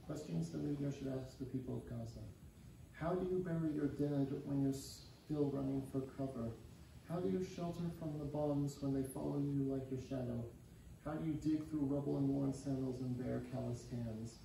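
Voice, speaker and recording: A young adult man reading a poem aloud in a measured, solemn recitation. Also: mid-range voice, steady tone, creaky, dark tone. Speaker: poetry reader. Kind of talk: poetry recitation. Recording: very echoey, distant, faintly noisy recording.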